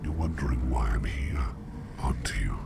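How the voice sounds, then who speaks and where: A curious, courteous sleep demon played by a man murmurs in an ASMR roleplay. The voice is rock-bottom low and heavily creaky, sinking into breathy whispers. The phrases come slowly, in formal, old-fashioned wording, over clearly audible noise. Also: animated, exaggerated voice. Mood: calm, mysterious. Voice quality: very deep, gravelly, breathy whisper. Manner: slow, measured, formal old-fashioned diction. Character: curious, gentle, formal male sleep demon, dream walker.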